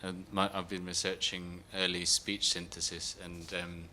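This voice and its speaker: A man in the audience with a very low, flat voice and a bright, forceful edge. He rambles and corrects himself, and faint noise sits behind him. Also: unhurried. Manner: rambling, loose, self-correcting. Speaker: audience member asking a question. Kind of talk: casual audience remark.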